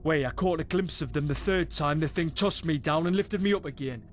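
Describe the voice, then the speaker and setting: An actor playing a boisterous, rough British adventurer in a fantasy game, telling tales in a salty, wry way. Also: male voice, fairly high pitch, a little fast, a little hiss or hum, close to an everyday voice. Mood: indignant, amused. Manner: salty, foul-mouthed, wry storyteller. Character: boisterous rough male adventurer spinning a monster tale. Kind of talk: in-character storytelling in a fantasy game.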